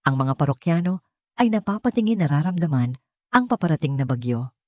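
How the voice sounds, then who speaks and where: A woman's voice: sits low, big pitch swings, fairly quick, a little mellow, no room sound, studio quality. A woman with a Filipino accent reading a scripted story as a dramatic narrator.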